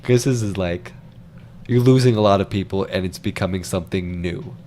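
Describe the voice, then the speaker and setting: A young man, co-hosting a casual movie review in a jokey, excitable way with plenty of rhetorical questions. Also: low voice, normal speed, slight background noise. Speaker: film review co-host. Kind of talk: casual unscripted movie chat.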